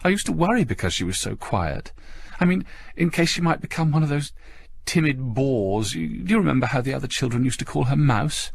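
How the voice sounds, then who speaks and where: A man's voice: medium pitch, expressive, a little fast, creaky, dry recording, clean studio sound, voice pushed up. A man voicing an anguished middle-aged mother, clinging and pleading, in a whimpering dramatic audiobook voice. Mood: distraught. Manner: emotional, pleading.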